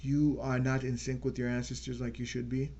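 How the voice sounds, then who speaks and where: A man hosting his own channel, giving an earnest unscripted political talk and slowing down to stress key words. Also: mid-range voice, flat delivery, dark tone. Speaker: channel host.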